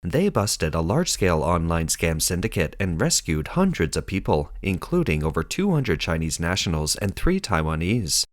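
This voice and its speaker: A man narrating a scripted news explainer in a measured, dramatic storytelling manner. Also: low voice, very animated, dry recording, studio quality.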